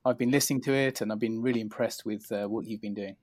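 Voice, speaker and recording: A man's voice: fairly low pitch, steady tone, fast talker, darkish tone, no room sound. A middle-aged man with a southern English accent, telling his career story in an interview in a polite, modest, hesitant way. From England, near London. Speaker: interview guest, cardiologist.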